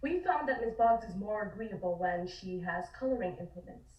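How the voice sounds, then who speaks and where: A woman's voice: medium pitch, lively intonation, normal speed, a little mellow, close to an everyday voice. A courteous sanitarium orderly played by a woman speaks carefully and with some hesitation, keeping things guarded. It is a table read of a drama, heard from a distance over a dull, echoey call. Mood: guarded, polite.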